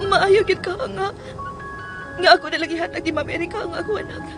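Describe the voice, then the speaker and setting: A woman's voice: extremely high pitch, fairly even intonation, fairly quick. A Filipina woman playing a timid, pleading young mother in a radio drama, with a Filipino accent. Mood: pleading, tearful. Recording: radio drama with loud music underneath.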